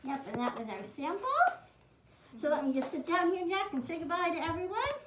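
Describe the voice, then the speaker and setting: A high-pitched woman's voice, recorded in a roomy, echoey space with noise in the recording. She guides children through a craft one step at a time, in simple words and with an encouraging manner. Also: animated, brisk. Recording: roomy echo, clearly noisy, clicking.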